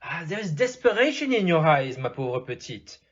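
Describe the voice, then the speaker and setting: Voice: medium pitch, big pitch swings, moderate pace. A performer voicing a courteous, shrewd, fatherly male detective in an audio play, with formal, theatrical phrasing and a put-on French accent. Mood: concerned, fatherly. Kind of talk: amateur audio play acting. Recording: dull, muffled lo-fi.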